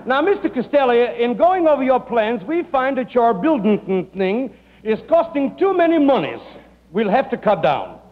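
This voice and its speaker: Very high-pitched, oily moneylender. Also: male voice, big pitch swings, moderate pace. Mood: unctuous. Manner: over-polite, formal, mangled foreign grammar. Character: fussy, oily, foreign finance company man. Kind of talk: 1940s radio comedy acting. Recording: dull, noisy old radio recording, hall reverb.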